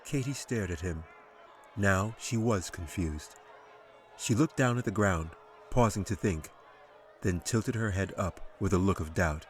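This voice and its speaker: A man reads a fantasy audiobook in a low-pitched voice. His delivery is measured and dramatic, in the manner of scripted storytelling. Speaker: author reading own audiobook.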